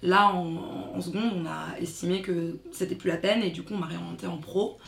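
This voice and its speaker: A woman's voice: on the low side, fairly even intonation, brisk, gravelly, fairly bright tone. A woman in her thirties, born in France to Congolese parents, reflecting on her schooling in a casual interview with many fillers. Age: 30s. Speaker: interviewee, daughter. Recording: echoey room with faint noise.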